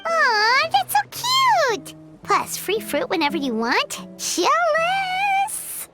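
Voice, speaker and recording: A woman voicing a tiny, cheeky, excitable fairy companion in a video game, squeaky and childlike. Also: very slow, over loud music, exaggerated voice. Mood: cheeky, excited. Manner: exclamatory, cheeky, third-person self-reference.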